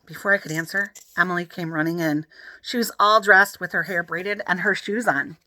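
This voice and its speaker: A woman's voice: fairly low pitch, steady tone, normal speed, sharp tone, no echo. A woman reading a children's story aloud expressively. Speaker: audiobook reader.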